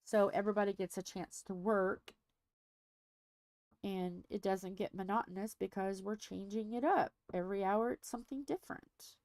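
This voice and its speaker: A woman's voice: medium pitch, steady tone, moderate pace, darkish tone, no room sound. A middle-aged woman hosting a diamond painting livestream, chatting casually and rambling as she thinks aloud with many fillers, a little stuffy.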